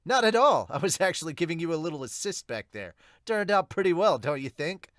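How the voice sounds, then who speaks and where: A man's voice: on the high side, big pitch swings, fairly quick, a bit bright, no echo, clean studio sound, pitched above the performer's own voice. A man voicing a cocky young male superhero in an amateur manga dub, with a cheeky, casual, provoking manner. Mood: breezy.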